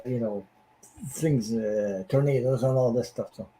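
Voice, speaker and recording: A man at a middle pitch reads tarot cards in a relaxed chat. His voice is hoarse and fading, and he pauses often to search for the right words. A faint hum of room noise sits under him. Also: poor audio. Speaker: tarot reader guest. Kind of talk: casual tarot reading chat.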